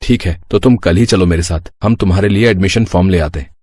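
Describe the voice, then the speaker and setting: A young husband in a family storybook drama acted by a man. His voice sits fairly low and sounds conversational. He is calm and reasonable, firm when protecting his wife. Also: quick pace, dry recording. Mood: curious.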